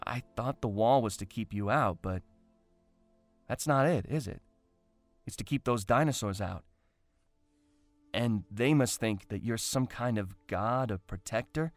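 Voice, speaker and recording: A man playing a brave, chatty young castaway in a fan audio drama, with a wry, expressive, conversational delivery. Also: on the low side, unhurried, long pauses, no echo, soft background music. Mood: puzzled.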